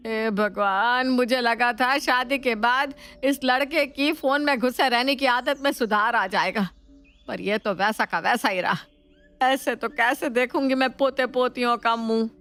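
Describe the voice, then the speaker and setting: A woman's voice: a little high, fairly even intonation, measured pace, a bit bright. A woman playing an exasperated, coaxing middle-aged Indian mother-in-law in a family story, with dramatic, complaining delivery. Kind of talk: scripted Hindi family story dialogue.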